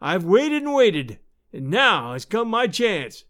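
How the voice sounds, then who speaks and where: Vengeful storybook villain acted by a male narrator: high, wildly varied, melodramatic, stretching out every gloating taunt. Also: sing-song, a bit bright, exaggerated voice. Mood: gloating, mocking. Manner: taunting, melodramatic, drawn-out delivery. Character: vengeful, gloating villain, a frenzied adult man.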